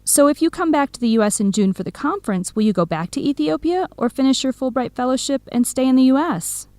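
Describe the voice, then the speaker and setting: A woman's voice: high-pitched, animated, fast, a little mellow, no room sound. A woman playing a supportive, frank young best friend in a radio drama, with a direct, encouraging, conversational manner. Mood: hopeful. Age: young adult. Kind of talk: scripted radio drama dialogue.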